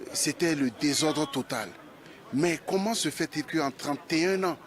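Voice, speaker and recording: A man speaking spontaneously in an interview as a volunteer teacher, earnest, in halting phrases. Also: fairly high pitch.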